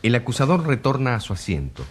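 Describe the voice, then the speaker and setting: An actor playing the solemn, measured narrator of a scripted radio courtroom drama. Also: male voice, mid-range voice, big pitch swings, a little hiss or hum, close to an everyday voice. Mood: solemn, suspenseful. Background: loud music.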